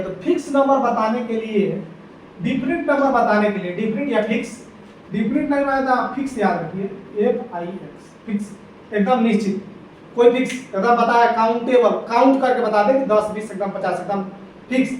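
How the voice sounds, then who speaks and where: High-voiced man teaching in an echoey room. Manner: repetitive, drilling questions to students. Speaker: English grammar teacher. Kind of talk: exam-prep grammar lesson. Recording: echoey room, distant mic, faint hiss.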